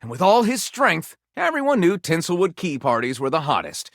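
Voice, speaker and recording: A melodramatic male storyteller for a video game, spins pulpy adventure tales as grand theatre. His pitch swings widely and he stretches out suspenseful pauses with overwrought relish. The recording is close and dry. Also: wildly swooping pitch, fairly bright tone, no room sound, exaggerated voice. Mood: grandiose, breathless. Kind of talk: scripted dramatic narration for a video game.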